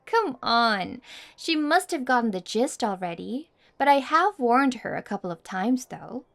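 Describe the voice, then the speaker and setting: Woman acting a haughty, high-voiced popular girl. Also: sing-song, unhurried, creaky, a bit bright, heavily acted. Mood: smug, teasing.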